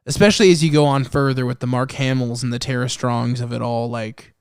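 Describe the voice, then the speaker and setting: A man's voice: medium pitch, fairly even intonation, fairly quick, clean tone, no echo, clean studio sound. A man hosting a podcast in casual chat, talking in an enthusiastic, opinionated way. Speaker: podcast host.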